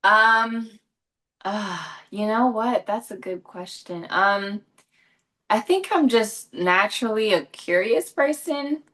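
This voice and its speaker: A woman's voice: mid-range voice, lively intonation, takes it slowly, sharp tone. A young woman from Los Angeles answering interview questions in a chatty way, with many fillers and self-corrections. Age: young adult. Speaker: actress and guest.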